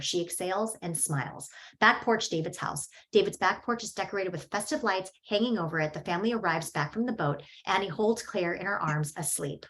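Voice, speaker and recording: A woman's voice: on the low side, a bit bright, rough recording. A woman reads stage directions as scripted narration at a table read.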